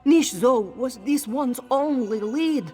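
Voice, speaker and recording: A woman's voice: sits high, lively intonation, takes it slowly, a little mellow, slightly rough, full character voice. A synthetic woman voice as a proud cat-folk townswoman. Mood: eager. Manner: speaks of herself in third person. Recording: reverb with game music behind. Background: game music.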